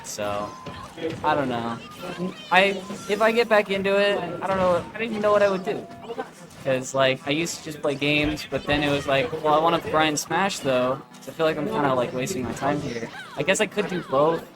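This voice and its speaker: Male esports caster, higher-than-average voice, casual and excitable play-by-play, prominent music behind. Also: normal speed. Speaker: esports commentator. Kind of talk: casual live play-by-play commentary.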